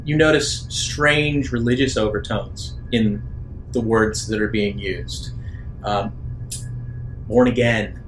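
A man working as game master, narrating a roleplaying game haltingly in short phrases with many pauses. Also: lowish voice, a little hiss or hum, rough recording.

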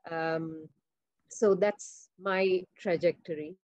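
A woman from Sri Lanka, a professor, presenting her slides on a video call at an academic conference in a measured way. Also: on the low side, fairly even intonation, a little mellow, dry recording. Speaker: professor, panelist. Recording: dull dry video call audio.

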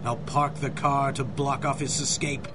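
A man's voice: medium pitch, level tone, normal speed, heavy background noise, close to an everyday voice. An actor playing a sneering, cowardly gangster boss in tough-guy style in a 1930s-style radio drama. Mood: smug.

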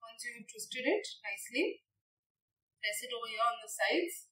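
A woman's voice: a little high, very animated, moderate pace, sharp tone. A young woman chef instructor giving a step-by-step cooking demonstration, recorded in a large teaching kitchen. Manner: instructive, step-by-step, frequent "okay" and "right". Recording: echoey kitchen, distant from mic.

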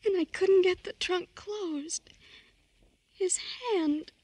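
An actress playing a nervous, desperate young murder suspect in a radio crime drama, breathless and pleading. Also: female voice, extremely high pitch, takes it slowly, mellow, no echo. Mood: haunted, broken. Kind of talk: old-time radio crime drama acting.

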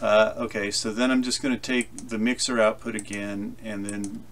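A man explaining software in a casual, halting tutorial style, thinking aloud as he demonstrates. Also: fairly low pitch, flat delivery, slight background noise. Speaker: tutorial presenter. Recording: loud guitar effects music over voice. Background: loud electric guitar with delay effects.

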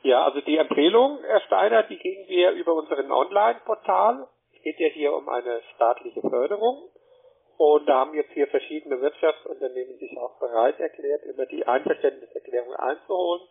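A man giving a wordy telephone sales pitch, often adding a short checking question. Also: lively intonation, a little fast, vocal fry, slightly rough. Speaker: telemarketer. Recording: muffled narrowband phone line.

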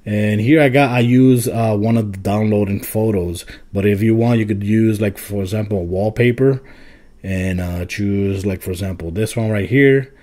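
A man's voice: on the low side, normal speed, mellow. A man hosting a casual tech tutorial, explaining things informally step by step. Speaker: tech video host. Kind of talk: casual phone app tutorial.

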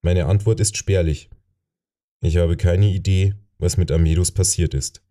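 A man reads a first-person novel as an audiobook narrator. His voice is lowish and very dark and mellow, with the measured phrasing of scripted storytelling. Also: steady tone.

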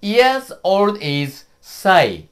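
A Japanese-accented man in his fifties, a native Japanese speaker, teaching a casual lesson from a small studio room. He talks slowly, drills words again and again, and his pitch swings up and down a lot. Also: very animated. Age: 50s. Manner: slow, repetitive drilling with cheerful laughs. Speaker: YouTube host and teacher.

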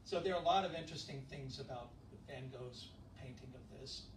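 A middle-aged man preaching a conversational sermon, chatty and relaxed, asking his congregation questions as he walks around the room. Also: mid-range voice, brisk, a little hiss or hum. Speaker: pastor. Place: church fellowship hall, indoors. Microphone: handheld mic, filmed from far back. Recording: echoey room from far off.

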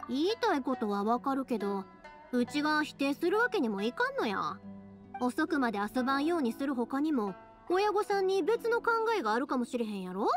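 Cheerful young big-sister type, Kansai accent, high voice, animated melody, reassuring, anime game dialogue. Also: female voice, brisk, soft background music. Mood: explaining. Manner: lively, reassuring, colloquial. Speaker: video game character voice actor.

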